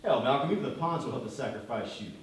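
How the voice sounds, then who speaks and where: A man's voice: medium pitch, animated, fast talker, lightly acted. A man acting in a stage play as a loyal, worried, hard-headed bodyguard and aide, urgent and pleading in his delivery. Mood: stern, insistent. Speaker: stage actor. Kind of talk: live one-act stage play acting. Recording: very echoey stage, distant mic, faint noise.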